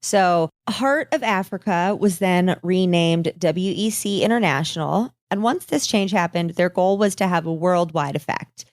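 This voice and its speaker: A woman's voice: on the low side, a little slow, few pauses, no echo, studio quality. A woman co-hosting a podcast, telling a story in a casual, irreverent, sarcastic way and swearing freely. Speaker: podcast co-host.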